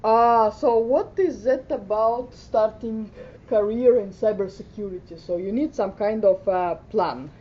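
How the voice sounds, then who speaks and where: Hesitant Finnish-born woman giving a conference talk. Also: a little slow. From Finland. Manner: hesitant, many fillers, plain delivery. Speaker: conference speaker. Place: conference room. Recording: muffled conference audio with faint rumble.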